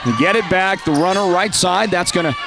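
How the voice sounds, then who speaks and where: A man's voice: high voice, brisk, creaky, very noisy. Congested nasal man calling basketball. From Northern Arizona. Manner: chatty, rises to loud calls on big plays. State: congested from a lingering cold. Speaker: play-by-play announcer. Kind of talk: live basketball commentary.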